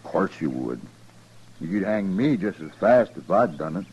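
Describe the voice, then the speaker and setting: An actor playing a matter-of-fact, grimly neighborly American rancher leading a lynching in a radio western, speaking in an unhurried folksy rural way. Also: male voice, low-pitched, big pitch swings, a little mellow, breathy edge, lo-fi sound, exaggerated voice. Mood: grimly calm. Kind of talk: 1950s radio western acting.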